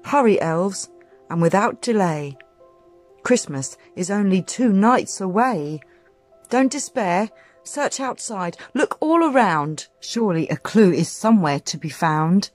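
A woman's voice: medium pitch, expressive, unhurried, faint music behind. A woman voicing Santa's determined, distressed wife in an earnest, pleading, emphatic storybook manner. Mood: urgent.

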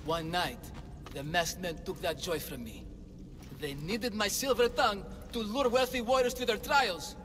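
A man's voice: high voice, normal speed, fairly bright tone. A man playing an anxious, melodramatic father, a silver-tongued swindler in a video game, with theatrical, faltering speech. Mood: grieving. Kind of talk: dramatic video game dialogue. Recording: long cavernous reverb, music behind.

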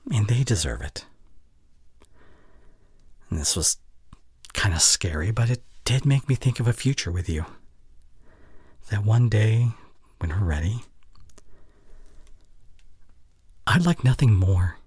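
A young man playing an anxious, caring boyfriend in an intimate ASMR roleplay, speaking softly and hesitantly close to the mic. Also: lowish voice, lively intonation, unhurried, pauses often, no echo, heavily acted. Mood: earnest, thoughtful. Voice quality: soft, breathy. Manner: gentle, hesitant, murmuring reassurance. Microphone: close microphone.

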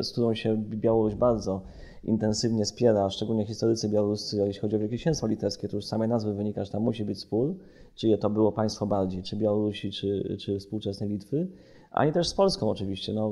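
A man's voice: lowish voice, steady tone, brisk, warm tone. A man speaking as an analyst in an interview, explaining with many qualifications and self-corrections. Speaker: policy analyst and report author.

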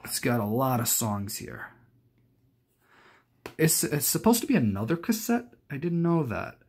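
A man's voice: mid-range voice, very animated, a little slow, a little mellow, breathy edge. A young adult man giving a casual, unscripted show-and-tell, rambling hesitantly and often correcting himself. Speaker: collector presenting his cassette collection.

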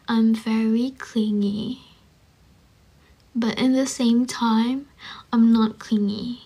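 A young woman in her twenties with a Malaysian accent, chatting softly into her phone on a livestream, often close to a whisper. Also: on the high side, fairly even intonation, drawn-out words, long pauses, breathy edge. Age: 20s. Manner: soft, drowsy, often near a whisper. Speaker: livestream host reading chat. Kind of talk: casual livestream chat. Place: dim room indoors. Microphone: phone held close.

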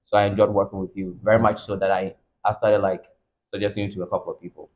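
Male interviewer, thirties, low voice, casual delivery, narrow phone-like audio. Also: flat delivery, fast talker. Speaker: interviewer, channel host. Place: furnished room, seated in armchairs. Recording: thin phone-like band-limited sound.

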